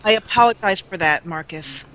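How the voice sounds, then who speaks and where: A woman joins a live online show as a guest. Her voice is bright and sharp, at an average pitch for a woman. She talks in a casual, conversational way. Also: moderate pace, low-quality recording. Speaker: livestream guest. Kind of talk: casual chat.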